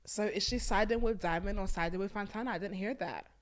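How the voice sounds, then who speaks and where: A young woman hosting a podcast from a small studio set, chatting emphatically about a reality show into a boom mic, with bursts of laughter. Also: quick pace. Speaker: podcast host. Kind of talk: casual chat reviewing a reality show. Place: small purple-lit studio set on a sofa. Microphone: studio mic on a boom arm.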